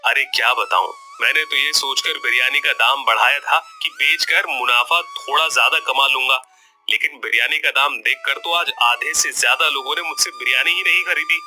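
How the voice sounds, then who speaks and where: A man's voice: mid-range voice, normal speed, sharp tone. A man voicing a greedy, scheming street food vendor in a grumbling, wily way. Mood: whining. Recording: music bed behind the voice.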